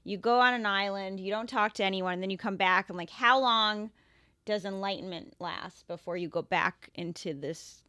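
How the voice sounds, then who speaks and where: A young woman comedian chats as a podcast guest, telling her stories with animation and acting out other people's lines. Her voice has a bright, forward tone. The sound is dry and close, with no room echo. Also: mid-range voice, normal speed, smooth. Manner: animated storyteller who acts out quotes. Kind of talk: casual chat.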